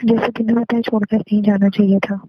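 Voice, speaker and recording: A woman's voice: mid-range voice, level tone, fairly quick, no echo, close to an everyday voice. A woman playing a remorseful young ex-girlfriend on a phone call, hesitant and pleading for forgiveness. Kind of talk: dramatised emotional phone-call dialogue in Hindi with English phrases. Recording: dull, dry lo-fi call recording.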